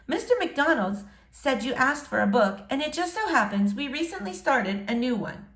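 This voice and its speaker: A woman's voice: high voice, animated, normal speed, a bit bright, reverberant, voice pushed up. A woman voicing a proper, wry and encouraging woman teacher in a storybook reading, with formal phrasing. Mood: bright, polite.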